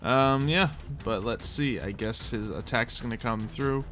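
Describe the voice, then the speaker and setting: Bright-voiced man running a tabletop game. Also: mid-range voice, unhurried, faint music behind. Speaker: game master. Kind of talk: casual improvised tabletop narration.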